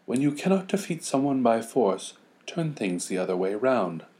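A man's voice: medium pitch, very animated, moderate pace, a little mellow, pitched above the performer's own voice, lightly acted. A frosty storybook witch, played by a man. She is commanding and scheming, a mother instructing her brood.

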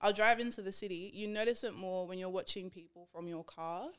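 A woman chatting casually, telling things in an animated, conversational way. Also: medium pitch, fairly quick, smooth. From Australia. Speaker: podcast guest DJ. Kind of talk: casual chat.

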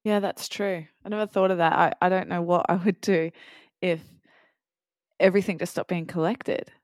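Low-voiced female interviewer, dry sound. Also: fairly even intonation, moderate pace, dry recording. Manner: curious, encouraging. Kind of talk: interview questions.

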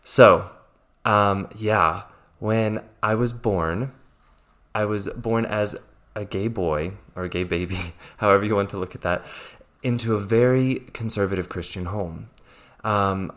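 A man's voice: fairly low pitch, a little slow, fairly bright tone. An American man from Virginia hosting his own podcast as a mindset coach, speaking in an earnest, reassuring way that often repeats itself. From Virginia, USA. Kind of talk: solo podcast monologue.

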